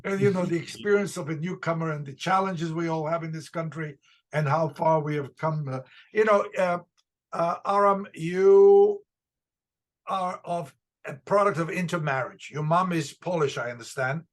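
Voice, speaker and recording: A man hosting an interview, asking questions and telling short stories in a halting way. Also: on the high side. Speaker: interviewer and host. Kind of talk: interview conversation.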